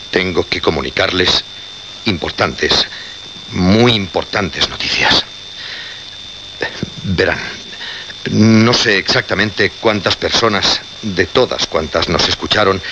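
An actor with a Castilian accent playing a grave, anguished programme director in a radio drama, insistent and repeating phrases for emphasis. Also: male voice, deep voice. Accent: Castilian Spanish. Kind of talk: Spanish radio drama acting. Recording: dull, noisy radio recording.

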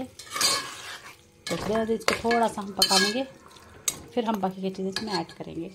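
A woman giving a casual step-by-step cooking narration, often addressing viewers directly and repeating her instructions. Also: medium pitch. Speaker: home cook and vlogger presenting a recipe. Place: home kitchen. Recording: clear kitchen noise, clattering pots and sizzling. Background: pots clanking, frying and stirring.

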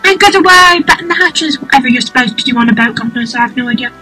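Woman playing a bright, chummy eccentric inventor fellow. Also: sits high, lively intonation, quick pace, breathy edge, soft background music, exaggerated voice, rough recording. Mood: calling out. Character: cheery, eccentric male inventor creature, old-chap type. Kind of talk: amateur cartoon dub.

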